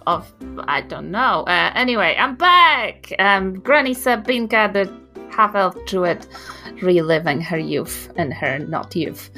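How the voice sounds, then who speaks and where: A woman playing a tabletop game, chatting casually and improvising with gushing excitement. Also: fairly low pitch, takes it slowly, faint music behind.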